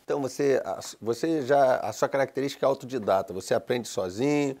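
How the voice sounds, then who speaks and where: A man's voice: mid-range voice, fairly quick, a little mellow. A man hosting a TV interview program, introducing his guest and prompting him with short questions. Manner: attentive, frequent short affirmations. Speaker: TV talk show host. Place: TV studio.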